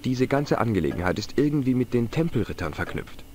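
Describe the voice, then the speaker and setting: An actor playing a polite, curious young American investigator in a video game, with dry, earnest delivery. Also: male voice, medium pitch, big pitch swings, a little fast, a little mellow, soft background music, lightly acted. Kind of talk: video game dialogue and wry narration.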